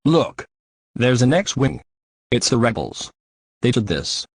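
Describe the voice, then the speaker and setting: A man playing an Imperial officer with a husky voice in a sci-fi drama. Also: mid-range voice, sing-song, slow pace, full character voice. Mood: alarmed.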